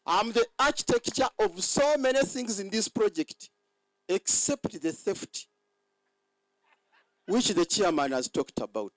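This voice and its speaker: A hoarse man giving a boastful ceremony speech as general manager, halting and repeating words. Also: sits high, lively intonation, fairly bright tone, no echo, rough recording.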